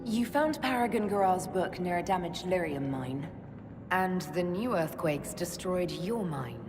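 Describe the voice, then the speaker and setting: A woman's voice: lowish voice, lively intonation, measured pace. A woman playing a resolute, practical fantasy adventurer in a video game cutscene. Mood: focused, businesslike. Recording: loud music behind the voice. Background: loud music.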